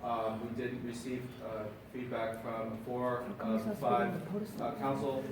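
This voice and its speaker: A man facilitating a city council meeting, talking haltingly with many fillers. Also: mid-range voice, little pitch movement, noisy background. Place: large council chamber. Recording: distant, very echoey hall with crowd.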